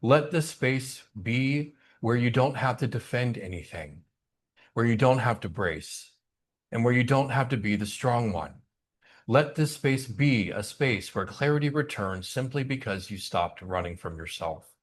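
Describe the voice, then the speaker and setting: A man leading an online spiritual service, speaking in a gentle, inviting and personable way as he guides listeners. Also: mid-range voice, expressive, unhurried.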